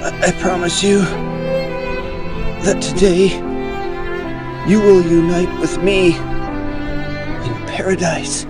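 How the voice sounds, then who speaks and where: Man acting a slow, agonized dying Jesus. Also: high-pitched, loud music behind the voice. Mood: pained, forgiving. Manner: slow, halting, labored delivery. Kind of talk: amateur radio drama acting.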